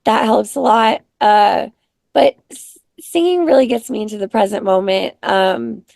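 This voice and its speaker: Raspy, gravelly-voiced woman guest. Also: a little high, no echo. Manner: soft-spoken, unhurried, many ums. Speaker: guest, singer-songwriter. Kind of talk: casual interview chat.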